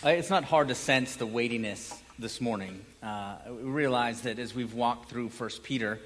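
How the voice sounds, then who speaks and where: A man's voice: mid-range voice, normal speed, a bit bright. Male pastor preaching in a reverberant hall. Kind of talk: conversational sermon. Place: large church hall, preaching to congregation.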